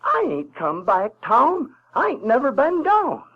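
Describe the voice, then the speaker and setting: An actor playing a folksy, earnest Southern American runaway boy who tells his adventures in halting, colloquial speech. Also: extremely high pitch, wildly swooping pitch, sharp tone, husky, full character voice. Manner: halting, colloquial, repeats words. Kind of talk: first-person storytelling in radio drama. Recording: dull 1970s recording with music.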